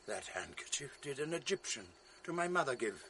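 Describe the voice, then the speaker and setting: An actor playing a jealous noble general in a radio drama, grave and commanding with a resonant stage delivery. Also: male voice, medium pitch, sing-song, a little fast, gravelly, a bit bright, a little hiss or hum. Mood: solemn. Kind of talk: 1980s radio drama acting.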